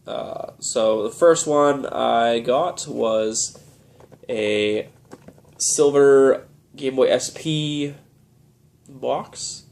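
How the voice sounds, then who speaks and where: A young man with a head cold talks in a stuffed-up, nasal voice. He rambles in a relaxed, easygoing way, padding his sentences with "uh" and "um" as he shows things off. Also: mid-range voice. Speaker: video game collector vlogger. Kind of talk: casual show-and-tell vlog.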